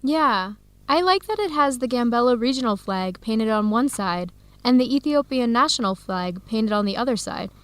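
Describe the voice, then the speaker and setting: An actress with an American accent playing an enthusiastic, curious visiting woman scholar in an educational radio drama, with clear, simple phrasing. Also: a little high, lively intonation, moderate pace, a bit bright, dry recording. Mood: playful, content.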